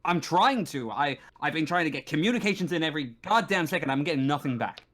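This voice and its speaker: A man playing a stern space-station marshal in a tabletop sci-fi game. He is gruff, harried and impatient, cursing freely as he stumbles through clipped, exasperated replies. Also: a little high, lively intonation, quick pace, a bit bright, pitched above the performer's own voice. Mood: exasperated, defensive. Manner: sweary, exasperated, stumbling over words.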